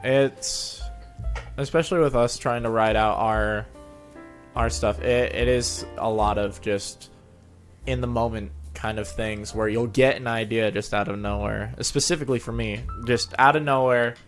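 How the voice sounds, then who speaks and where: A man's voice: medium pitch, fairly even intonation, a little slow. A man hosting a casual interview, speaking in an informal, agreeable way with loose phrasing. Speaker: interviewer, show host. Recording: music playing behind the voice. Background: background music.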